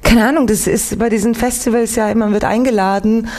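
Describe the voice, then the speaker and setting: A young Swiss woman, an actress and singer, chatting lively as a guest in a late-night radio interview, with a faint Swiss German accent. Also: on the high side, quick pace. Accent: slight Swiss German. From Switzerland, Winterthur. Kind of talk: relaxed radio interview chat.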